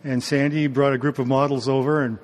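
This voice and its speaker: A man speaking in a measured, casual way in an interview, often pausing with fillers and clearing his throat. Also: medium pitch, level tone.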